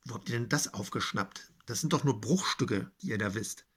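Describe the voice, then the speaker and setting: A man's voice: on the low side, moderate pace, a bit bright, breathy edge. A man playing a dismissive judge in an amateur comedy sketch, lecturing the founders. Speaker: judge in a mock pitch show.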